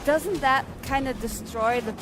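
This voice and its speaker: A woman's voice: high voice, a little slow, background noise. A woman interviewing someone in a casual, chatty style. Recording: loud music behind the voice. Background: loud music.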